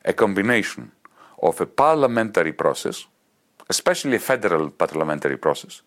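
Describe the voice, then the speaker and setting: A man with a Greek accent arguing politics in an interview, emphatic and animated, piling up examples. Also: fairly low pitch. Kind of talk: impassioned political argument.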